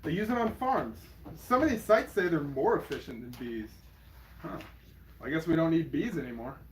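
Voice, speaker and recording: A man's voice: a little high, lively intonation, normal speed, natural voice, poor audio. A man playing a flippant, laid-back partner in a naturalistic audio drama, speaking in a casual, wry, dismissive way. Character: laid-back, flippant partner, nervous about bee stings. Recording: faint background noise.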